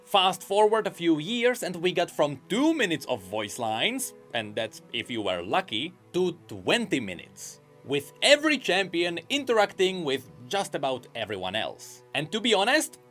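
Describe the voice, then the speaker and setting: Lore video narrator: a man with a fairly high voice and lively pitch, faint music under him. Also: moderate pace, few pauses. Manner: animated, explanatory. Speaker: video essayist on game lore. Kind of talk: scripted commentary.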